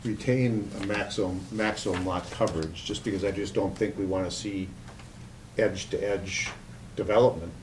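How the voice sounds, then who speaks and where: A man with a fairly low voice talks in a public meeting. His delivery is hesitant and halting, with repeated words. There is faint noise behind him. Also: steady tone. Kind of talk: meeting discussion. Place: indoor meeting room. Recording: noisy meeting room recording.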